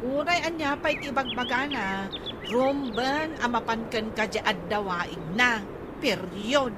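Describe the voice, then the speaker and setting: Woman acting a high, swooping, indignant nagging wife. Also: lively intonation, a little slow. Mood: indignant complaining. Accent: Ilocano. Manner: exclaiming, indignant. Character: agitated nagging wife riled by family inheritance quarrel. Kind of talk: Ilocano radio comedy drama acting. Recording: noisy outdoor ambience with birdsong.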